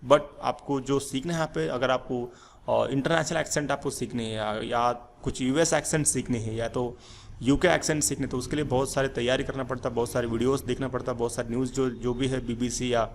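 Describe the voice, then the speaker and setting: Gravelly man teaching a lesson. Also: medium pitch, steady tone, fairly quick, a little hiss or hum. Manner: rambling with many fillers and restarts. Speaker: English teacher. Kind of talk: slide-based lesson.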